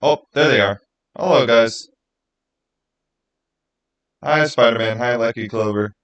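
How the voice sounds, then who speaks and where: Guy hosting a stream, medium pitched voice, just chatting informally with viewers. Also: measured pace, a bit bright, highs cut off. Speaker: live stream host running a giveaway. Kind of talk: casual livestream chat.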